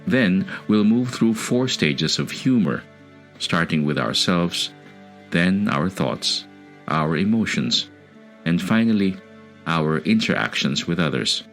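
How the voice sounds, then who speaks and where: A man guides a meditation in an extremely low voice. He speaks slowly and deliberately, leaving pauses between phrases. Soft music sits behind him. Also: expressive. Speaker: meditation podcast host. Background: music under the voice.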